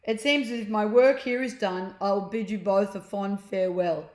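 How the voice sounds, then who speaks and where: A woman's voice: mid-range voice, fairly even intonation, normal speed, a little mellow, lightly acted. Storybook slime creature, female reader acting it, kindly and a little grand, emphatic delivery, reverberant room. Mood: fond farewell. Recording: roomy, echoing sound.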